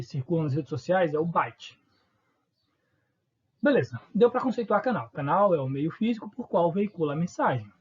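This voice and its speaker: A man's voice: high voice, husky, dull audio. A man from Northeast Brazil with a Northeastern accent, teaching a recorded online lesson in an informal way, asking questions and answering them himself. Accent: Northeastern Brazilian Portuguese.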